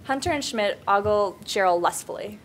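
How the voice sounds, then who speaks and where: A woman's voice: mid-range voice, lively intonation, normal speed. A woman reading scene headings and stage directions as the narrator at a script table read. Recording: table read with background noise.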